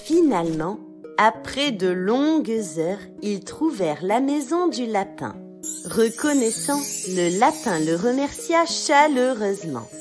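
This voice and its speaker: A woman tells a children's story in a fairly high, very animated voice. She takes her time with each phrase, and music plays clearly behind her. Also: a little slow. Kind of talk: expressive scripted children's storytelling.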